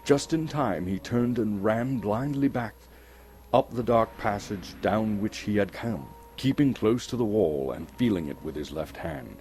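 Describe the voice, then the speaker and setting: A man's voice: fairly low pitch, lively intonation, measured pace, darkish tone, a little hiss or hum. A man from Canada giving a dramatic scripted narration of a fantasy story as an actor and storyteller. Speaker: storyteller, actor reading a novel.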